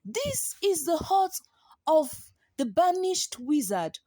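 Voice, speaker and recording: A woman's voice: high voice, very animated, slow pace, warm tone, no room sound, studio quality, pitched above the performer's own voice, full character voice. A woman voicing an astonished, watchful village warrior in a folktale, full of wonder and indignation. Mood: astounded.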